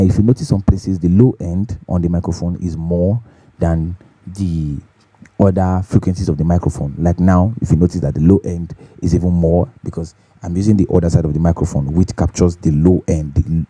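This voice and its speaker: A man with a deep, bassy voice hosting a home recording tutorial, talking casually and repeating his key points as he checks in with viewers. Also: fairly even intonation, moderate pace, mellow, husky, dry recording, soft background music. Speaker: host of a home recording channel. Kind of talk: casual tutorial talk to camera. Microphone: studio condenser microphone.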